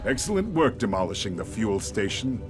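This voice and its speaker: An actor playing a steady resistance organiser, briefing recruits in a matter-of-fact way in a video game. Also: male voice, fairly low pitch, normal speed, over music. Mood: businesslike.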